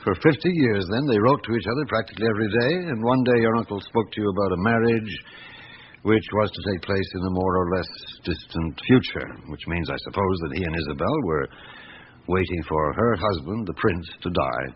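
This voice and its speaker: A very low male voice from an actor as a patient Paris police inspector in a vintage radio play. He questions people in a measured, persistent manner. The recording is muffled, with audible noise. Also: close to an everyday voice. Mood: deliberate, pressing. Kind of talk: classic radio drama acting.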